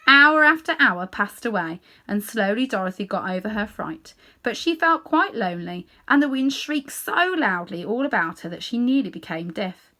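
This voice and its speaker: A woman reading a children's story aloud in an animated way, with dramatic pauses and hushed little asides. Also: medium pitch. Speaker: storybook reader.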